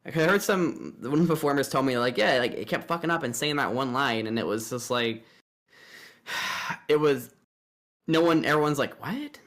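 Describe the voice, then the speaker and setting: Mid-pitched man in casual, joking chat. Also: normal speed, a bit bright. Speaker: host. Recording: amateur recording with bad audio.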